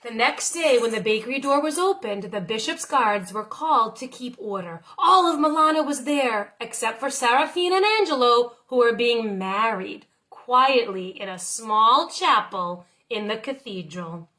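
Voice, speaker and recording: High-pitched woman reading a storybook. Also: lively intonation, moderate pace, few pauses, bright, forceful tone. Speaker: teacher reading a picture book aloud. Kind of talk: expressive storybook narration.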